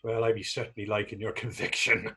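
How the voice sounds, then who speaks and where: A man's voice: lowish voice, level tone, fairly quick, sharp tone, slightly rough. A man playing a naive, earnest young fellow in readers theater, speaking rambling, guileless old-fashioned dialect. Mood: eager, smitten. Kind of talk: readers theater stage acting.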